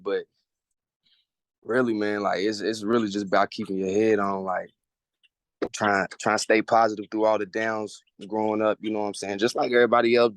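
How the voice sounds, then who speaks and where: A man's voice: lowish voice, no echo. A man in his late twenties from Kentucky, a music artist chatting loosely with slang in an interview. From Lexington, Kentucky. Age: 20s. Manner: loose, slangy, laughs easily. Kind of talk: casual interview chat.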